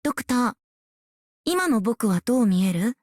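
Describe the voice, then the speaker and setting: A woman's voice: on the high side, big pitch swings, fast, darkish tone, dry recording, clean studio sound. A woman voicing a youthful, proud and earnest soldierly operator in scripted game lines. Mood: earnest, hopeful.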